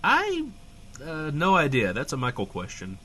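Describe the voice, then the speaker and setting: A man's voice: fairly high pitch, huge pitch swings, moderate pace, pushed and bright, breathy edge, heavily acted. A man playing a baffled, polite small-town radio host, hesitant and bemused in a comedic interview.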